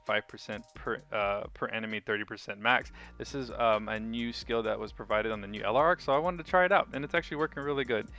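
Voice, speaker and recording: A man's voice: on the low side, bright, forceful tone. A man giving a casual game walkthrough commentary, informal and enthusiastic like a hobbyist sharing a setup. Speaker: gaming video creator. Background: music.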